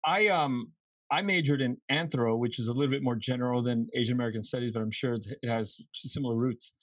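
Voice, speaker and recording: A man's voice: medium pitch, fast, dry recording. A man in his thirties hosting a podcast interview, asking rambling, self-deprecating questions. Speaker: podcast host. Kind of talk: casual interview conversation.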